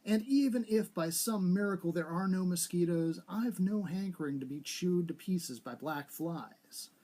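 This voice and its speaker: Man reading as a grumpy, finicky middle-aged gentleman. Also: sits high, measured pace. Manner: dry, grumbling.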